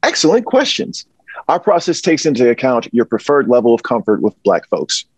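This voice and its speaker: A man in his thirties playing a slick, upbeat concierge pitching a service at a script read, with a husky voice. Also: huge pitch swings, moderate pace, dry recording. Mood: upbeat, explaining. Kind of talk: comedic sales pitch, table read. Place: indoor office or storeroom. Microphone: wireless earbuds, video call.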